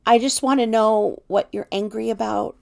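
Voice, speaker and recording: A woman's voice: on the high side, fairly even intonation, moderate pace, gravelly. A woman voicing a small, timid, hurt storybook companion in a meek, questioning little voice. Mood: sad, pained. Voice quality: tiny, little voice.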